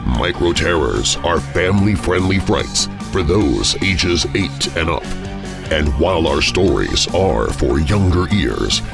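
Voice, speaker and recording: Low gravelly guy doing a spooky show intro, takes his time, music playing. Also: expressive, slow pace. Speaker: podcast host.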